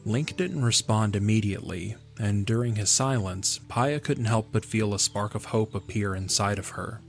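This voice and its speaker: A man's voice: fairly low pitch, moderate pace, vocal fry. A man reading scripted story narration for an audio drama, in the manner of an audiobook. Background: background music.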